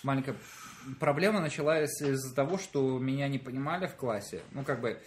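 Guy with a faint russian accent, umming his way through, some low noise behind. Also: a little fast. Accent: Russian, light. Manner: hesitant, explaining with many fillers. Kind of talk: casual chat.

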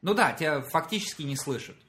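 A man with a light Russian accent, explaining slowly with many fillers and pauses in a casual chat. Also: on the high side, expressive, a bit bright.

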